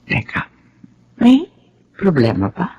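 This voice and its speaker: A woman's voice: fairly low pitch, huge pitch swings, takes it slowly, darkish tone, lo-fi sound, a little hiss or hum, full character voice. An actress playing a concerned, easily shocked middle-aged Filipino mother in a radio drama, motherly and probing. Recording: prominent music behind the voice.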